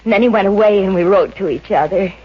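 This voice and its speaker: An actress playing a meek, wistful, browbeaten young niece in a 1950s radio comedy, timid and hesitant. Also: female voice, medium pitch, quick pace, slightly rough, close to an everyday voice. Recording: muffled, noisy old radio recording.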